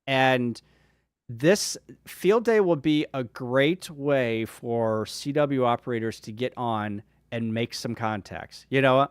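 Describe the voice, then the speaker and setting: A man's voice: mid-range voice, slow pace, clean tone, dry recording, studio quality. A man joining a casual livestream chat as a panelist, talking enthusiastically and rambling with many fillers.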